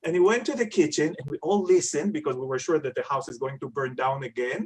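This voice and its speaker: A man tells stories in an animated, playful way over a video call. His voice sits a little higher than most men's. He hosts the conversation with a warm sense of humor. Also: rapid-fire, slight background noise. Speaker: webinar moderator and chef. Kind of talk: casual storytelling on a video call.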